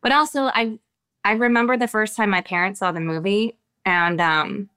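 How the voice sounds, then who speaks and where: An American woman, an actress with children of her own, giving reflective interview answers with many pauses and restarts. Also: mid-range voice, steady tone, bright, forceful tone, smooth. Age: adult with children. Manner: thoughtful, halting, many restarts and fillers.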